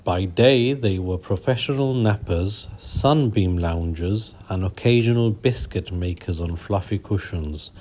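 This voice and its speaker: Guy reading kids a bedtime story, real slow and gentle, lowish voice. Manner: gentle, unhurried, soothing. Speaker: narrator and host of a children's bedtime story show.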